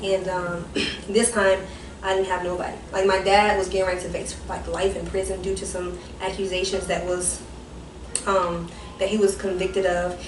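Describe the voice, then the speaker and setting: Soft-voiced young woman in an echoey room. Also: on the low side, little pitch movement, moderate pace. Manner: rambling, self-correcting storytelling. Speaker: guest, ministry founder. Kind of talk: personal testimony in casual chat. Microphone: distant mic. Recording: roomy, distant mic with background noise.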